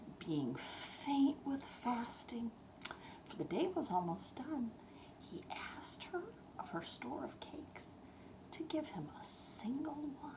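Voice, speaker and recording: Hushed, very expressive older woman reading bedtime stories. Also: a little high, slow, whispered, rough recording. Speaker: bedtime storyteller. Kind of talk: bedtime story and poem recitation for children.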